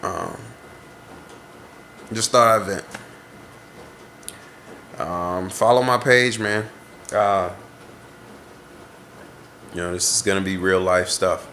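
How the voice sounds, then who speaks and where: A man's voice: sits low, steady tone, slow pace, pauses often, pushed and bright, a little hiss or hum. A man in his twenties, congested, talking to camera in a rambling, introspective way as he vents. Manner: rambling, introspective, searching for words. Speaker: vlogger. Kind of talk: casual reflective venting to camera.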